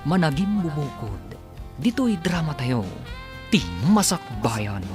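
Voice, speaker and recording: A man's voice: a little high, big pitch swings, slow pace. A man announcing a radio drama in a scripted, dramatic style. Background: music.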